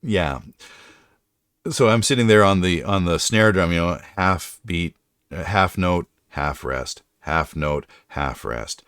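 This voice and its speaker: Very deep-voiced middle-aged man chatting. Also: slow pace, fairly bright tone, clear, resonant tone, no echo, clean studio sound. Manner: rambling, self-correcting. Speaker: livestream host. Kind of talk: casual livestream chat.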